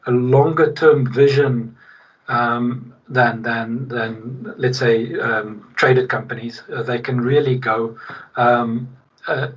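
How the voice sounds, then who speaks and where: A middle-aged man from South Africa, a guest in a casual podcast interview, talking in an animated, emphatic way with frequent restarts. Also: mid-range voice, husky. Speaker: guest, logistics executive. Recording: distant, dull remote-call sound.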